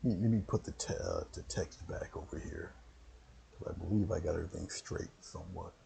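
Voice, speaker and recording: A man with a very low, gravelly voice, his pitch sitting near the bottom of the range. He leads a relaxed painting stream, rambling between steps and greeting viewers one by one. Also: brisk, slight background noise. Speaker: painting instructor. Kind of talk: casual livestream painting lesson.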